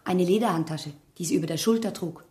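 A woman acts out a young wife and mother from a village in a radio drama. Her voice is soft-edged, mellow and slightly low, and she speaks earnestly and plainly. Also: fast, lightly acted. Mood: forthcoming. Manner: forthcoming, matter-of-fact. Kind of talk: German radio play acting.

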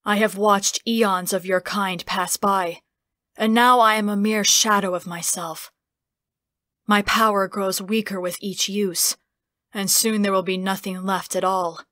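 A woman's voice: mid-range voice, fairly bright tone, no room sound, close to an everyday voice. A woman voicing an ancient, godlike spirit in a fantasy audiobook, imperious, weary and scornful. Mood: weary disdain. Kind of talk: fantasy audiobook character acting.

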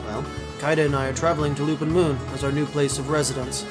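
A man's voice: a little high, little pitch movement, quick pace, natural voice. A man playing an earnest, wary and scrappy young ranger in a fantasy audio drama. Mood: wary, polite. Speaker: amateur voice actor. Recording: music behind the voice.

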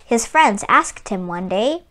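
A woman reading a children's picture book aloud as host, with deliberate, sing-song storytelling phrasing. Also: medium pitch, expressive, pushed and bright.

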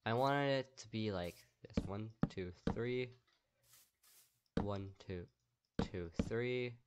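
A man's voice: fairly low pitch, slow pace, heavy background noise. A young man giving casual, upbeat gameplay commentary and thinking aloud with lots of fillers, his voice worn and strained from talking all day. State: voice tired and strained. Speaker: gaming YouTuber.

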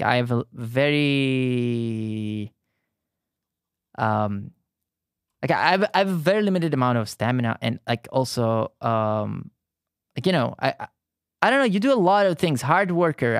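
A man's voice: medium pitch, lively intonation, gravelly, no echo, clean studio sound. Italian man chatting while painting. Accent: southern Italian. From Italy. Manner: halting, frequent restarts and fillers, friendly. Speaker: art streamer. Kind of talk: casual live-stream chat while painting.

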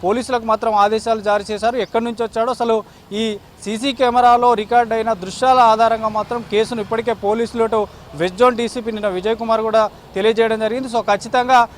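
A man giving an unscripted live field report, halting and repeating himself, with English words mixed in. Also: high voice, flat delivery. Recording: faint outdoor street and traffic noise.

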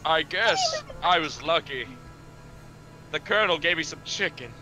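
A man's voice: a little high, animated, unhurried, sharp tone, voice pushed down, heavily acted. A man voicing a frail, plaintive old village man with a strained voice over game music.

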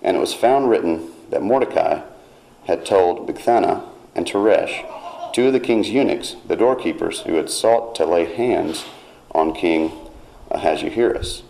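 A man preaching a sermon in church, retelling a scripture story in a measured way and reading verses aloud with rising emphasis. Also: low-pitched, gravelly, warm tone, slight background noise, rough recording.

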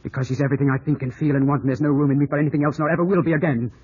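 An actor playing an earnest, stubborn young gentleman in love, in 1950s radio drama style. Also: fairly high pitch, steady tone, racing, slightly rough. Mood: impassioned, stubborn. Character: lovestruck, stubborn young English gentleman landowner. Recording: muffled old radio broadcast, music behind.

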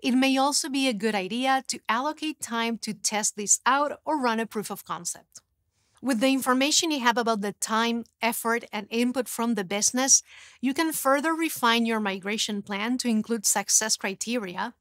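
Thirtysomething woman walking through a tech how-to, a bit high voice, dry studio sound. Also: moderate pace, no room sound. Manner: clear, even, instructive delivery. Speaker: presenter. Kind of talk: scripted tech explainer.

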